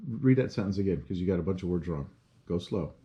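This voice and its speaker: A woman's voice: extremely low pitch, expressive, quick pace, warm tone. A woman who is a parent, patiently coaching a child through reading aloud, correcting words and explaining them. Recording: dull, slightly muffled home recording.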